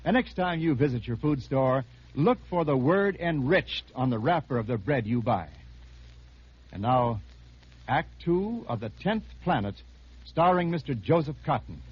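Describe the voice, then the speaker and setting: A man delivers a scripted 1950s radio advertisement with very lively intonation. His voice is husky and his manner earnest and persuasive. The old recording is dull and lo-fi. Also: mid-range voice, measured pace. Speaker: radio announcer reading a commercial.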